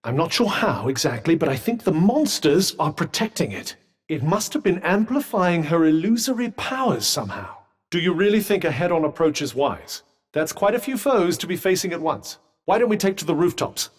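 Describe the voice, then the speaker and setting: A man's voice: fairly high pitch, very animated, normal speed, breathy edge. An actor voicing a sentient magical cuff in a video game, a dry, witty and protective male companion with a British accent and a formal, well-spoken, advising manner. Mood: measured advice. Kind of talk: scripted game dialogue. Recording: long reverberant tail on voice.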